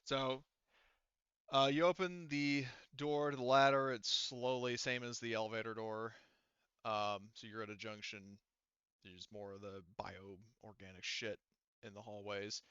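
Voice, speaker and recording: A man narrating a tabletop game as game master, casually and haltingly, with informal, sweary phrasing. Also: on the low side, level tone, a bit bright, clear, resonant tone, no room sound, muffled recording.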